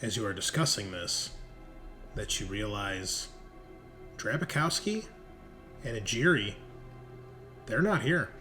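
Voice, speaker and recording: A man's voice: fairly low pitch, animated, takes it slowly, long pauses, music in the background. A man acting as game master, casually improvising narration and talking with his players in a jokey way.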